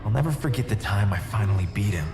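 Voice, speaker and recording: Deep, echoing, earnest young warrior. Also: male voice, lively intonation, quick pace. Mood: respectful. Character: earnest young warrior son, devoted and respectful. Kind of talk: cinematic video game voice acting. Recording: echoey, music behind.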